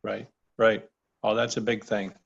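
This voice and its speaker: A caregiver man with a low voice and heavy creak speaks on a support call. His talk is casual and personal, coming out in halting phrases. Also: flat delivery, lo-fi sound, slight background noise. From Minnesota, United States.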